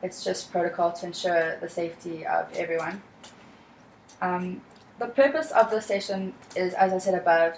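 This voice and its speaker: A young South African woman with a slightly low voice speaks in a calm, measured, reassuring way. She leads a counseling practice session in an echoey room with a faint hiss behind her. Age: young adult. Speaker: student counselor.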